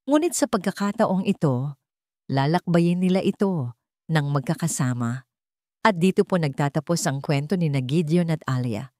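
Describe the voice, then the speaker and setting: A woman storyteller reading a scripted dramatic story in an earnest, measured way. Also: sits low, warm tone, no room sound, clean studio sound.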